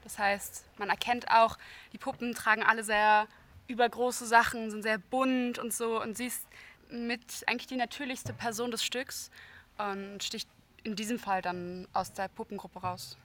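A woman's voice: on the high side, steady tone, normal speed, fairly bright tone. A young woman, a soprano from Berlin, answering eagerly in an interview. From Berlin, Germany. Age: young adult. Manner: eager, repeats words like "total". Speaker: soprano singer.

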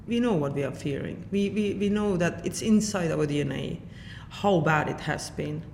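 A woman in her forties from Estonia with an Estonian accent, answering interview questions as a saxophonist in a reflective, halting way with many fillers. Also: low-pitched, creaky, a little mellow. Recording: long hall reverb, faint noise.

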